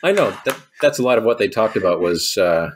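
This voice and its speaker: A man's voice: lowish voice, expressive, brisk, a little hiss or hum. A man co-hosting a podcast in casual book-discussion chat, wry and joking, laughing often. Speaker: podcast co-host.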